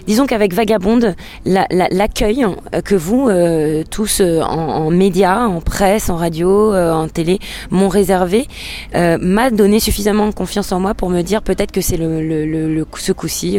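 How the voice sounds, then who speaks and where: A woman's voice: fairly low pitch, mellow. A woman whose first language is English, a singer-songwriter answering interview questions in a hesitant way, with many filler sounds and restarts.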